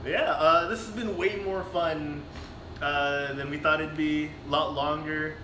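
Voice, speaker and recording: A man's voice: on the high side, steady tone, measured pace. A young man from the Philippines, co-hosting a casual live stream, rambling with lots of fillers and restarts. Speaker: streamer and co-host. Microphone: phone. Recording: echoey room, clear background noise.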